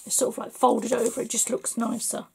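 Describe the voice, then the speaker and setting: Chatty slightly hoarse woman, gravelly. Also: on the high side, flat delivery, fairly quick, slight background noise. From England. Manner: chatty, rambling, full of fillers. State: slightly hoarse, losing her voice. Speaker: papercraft tutor. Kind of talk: casual crafting tutorial.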